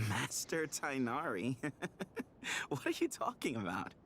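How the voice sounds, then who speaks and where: A man's voice: mid-range voice, wildly swooping pitch, a little slow, a bit bright, soft background music, heavily acted. A man voicing a breezy, teasing young male ranger mentor in a video game, with a casual, playful manner. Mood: amused.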